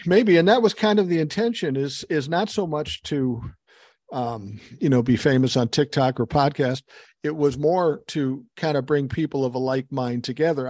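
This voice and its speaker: A man's voice: mid-range voice, a little mellow, dry recording, studio quality. A man in his sixties, a podcast guest on a video call, talking bluntly and emphatically with lots of swearing. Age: 60s. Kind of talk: casual chat over a video call.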